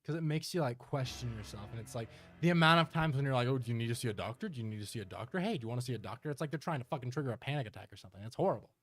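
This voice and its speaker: A man's voice: medium pitch, expressive, very fast, few pauses. A man doing casual live reaction commentary as a streamer, with a joking, sarcastic manner. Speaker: streamer reacting to horror videos.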